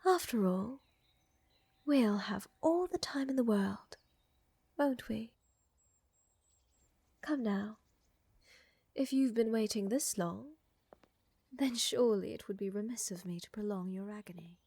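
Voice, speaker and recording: A woman playing a flirtatious, gently dominant vampire countess in an intimate ASMR roleplay, breathy and often whispering, with teasing, coaxing, formal wording. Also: mid-range voice, measured pace, pauses often, no room sound. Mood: teasing, tender.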